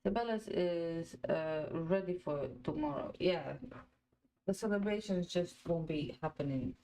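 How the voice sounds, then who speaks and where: A woman's voice: fairly low pitch, unhurried, dark tone, poor audio. A woman in her twenties, a Croatian native speaker, hosting a casual fan watch-along and chatting with viewers, laughing often as she reads out their comments. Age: 20s. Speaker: fan panel host.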